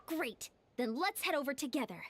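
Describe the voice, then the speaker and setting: Earnest high-voiced young warrior. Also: female voice, expressive, normal speed, very noisy. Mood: determined. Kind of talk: anime game voice acting.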